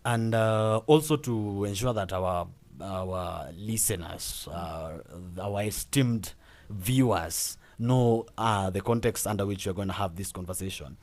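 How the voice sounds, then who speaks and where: A man's voice: on the low side, a little slow, a little mellow. A young Kenyan man hosting a casual podcast, talking with many fillers and repeated words and laughing often. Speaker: podcast host.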